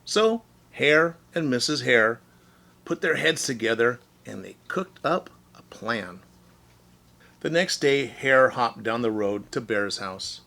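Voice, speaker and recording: A man with a bright voice reads a picture book to children like a teacher. His delivery is unhurried and very animated, with simple explanations. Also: medium pitch, gravelly. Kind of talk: expressive children's storybook reading.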